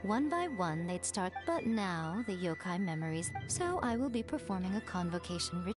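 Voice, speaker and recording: A woman voicing a sly, composed fox shrine maiden in an anime game, playful and smooth, with music behind her. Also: lowish voice, sing-song, normal speed. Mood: composed, explanatory. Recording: background music under the voice.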